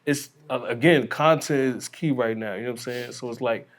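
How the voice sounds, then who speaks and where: A man's voice: medium pitch, fairly quick. An American man talking casually as a podcast guest in a blunt, emphatic, slangy way.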